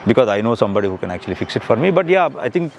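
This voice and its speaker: A man's voice: on the low side, big pitch swings, racing, creaky, slight background noise. A man in his late fifties with an Indian accent, chatting casually as a customer in a review interview, rambling and repeating words for emphasis. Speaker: customer giving a product review. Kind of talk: casual unscripted interview chat.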